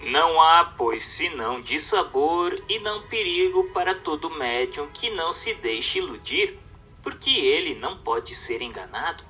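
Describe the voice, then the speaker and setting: A man with a Brazilian accent reading a religious treatise aloud as a narrator, in a formal, measured, didactic way. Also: fairly high pitch, sing-song, pushed and bright, faint music behind, rough recording.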